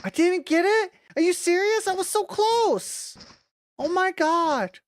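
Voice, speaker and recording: A woman's voice: very high-pitched, animated, slow pace. A woman streaming a video game, commenting casually with a lot of exasperated swearing and exclaiming. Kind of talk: casual live gameplay commentary.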